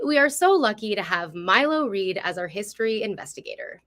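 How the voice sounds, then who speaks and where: A woman's voice: on the high side, bright, forceful tone. A woman presenting as the host of a history program, reading a scripted introduction in a welcoming, upbeat manner on a live online stream.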